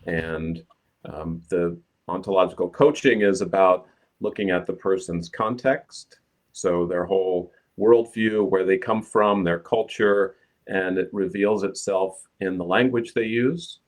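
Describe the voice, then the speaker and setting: Very low-voiced American-born man. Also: steady tone, a little slow. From United States. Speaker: guest life coach. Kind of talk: explanatory chat. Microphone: video call.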